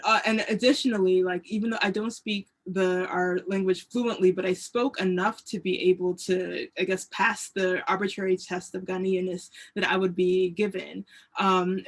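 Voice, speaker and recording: Woman from the us on a panel, pretty flat delivery, lots of ums. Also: mid-range voice. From United States. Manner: thoughtful, frequent fillers. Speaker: panel speaker, researcher. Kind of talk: academic panel discussion.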